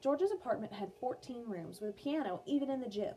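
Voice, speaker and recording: A woman reading an educational biography aloud for children as a music teacher, in a clear, measured storytelling manner. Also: on the high side, animated, dark tone, poor audio. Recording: music playing behind the voice. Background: background music.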